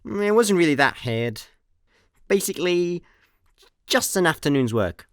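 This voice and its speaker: A droll space layabout, played by a man, laid-back and hard to impress. His intonation is highly varied and mock-dramatic, with a dry radio-play sound. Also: big pitch swings, a little slow, no room sound, full character voice. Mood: unimpressed. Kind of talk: comic sci-fi radio play acting.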